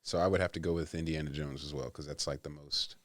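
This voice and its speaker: A relaxed man with a deep, low voice, chatting on a podcast and leaning into his points slowly. The sound is dry and close, with no room echo. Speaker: podcast co-host. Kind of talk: casual chat.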